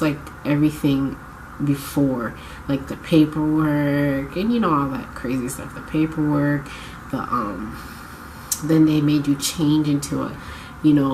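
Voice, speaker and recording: A woman's voice: sits low, a little hiss or hum, poor audio. A young woman vlogging casually to camera, congested from a cold, chatty and rambling as she corrects herself. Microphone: camera mic.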